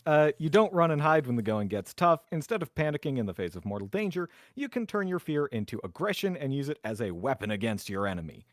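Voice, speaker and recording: A man's voice: a little high, very animated, brisk, no echo, studio quality. A man chatting casually as a player in a tabletop roleplaying game, self-deprecating and a bit theatrical.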